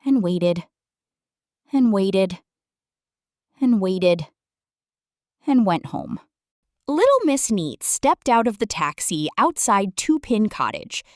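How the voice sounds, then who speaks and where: A woman's voice: mid-range voice, big pitch swings, takes it slowly, pauses often, no room sound, clean studio sound. A woman storyteller reading a children's book aloud theatrically, addressing the listener directly.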